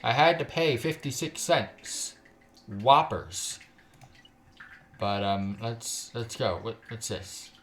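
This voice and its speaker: A man's voice: mid-range voice, animated, takes it slowly, slight background noise. A man live-streaming a game, chatting casually in a joking, sweary, slangy way and reading text aloud with stumbles.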